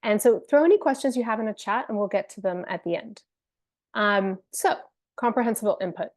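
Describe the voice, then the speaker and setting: A woman's voice: mid-range voice, a little fast, darkish tone. A woman presenting an online teacher-training webinar in an earnest way, with some fillers and restarts, speaking into a computer mic on a video call. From family from Venezuela, raised in Spain and the UK. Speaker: webinar presenter, company co-founder.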